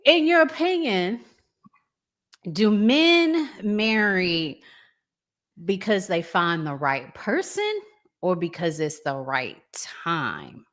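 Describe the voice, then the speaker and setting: A woman's voice: mid-range voice, very animated, takes it slowly, fairly bright tone. A woman hosting a podcast, chatting casually and putting questions to her guest. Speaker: podcast host. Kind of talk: casual chat, asking questions.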